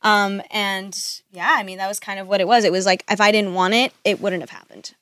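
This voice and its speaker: A woman's voice: mid-range voice, steady tone, brisk, fairly bright tone, dry recording. A young woman singer whose first language is Spanish, chatting in a studio interview with lots of "like" fillers and frequent laughs. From United States. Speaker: singer guest. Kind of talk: casual interview chat. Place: radio studio. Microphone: studio mic.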